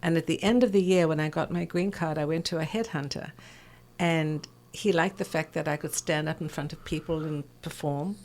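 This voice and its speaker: A woman in her sixties speaks in a low register with an English South African accent. She is an interview guest recounting her life and expertise in a conversational, theatrical storyteller's manner. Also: normal speed, a little mellow. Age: 60s.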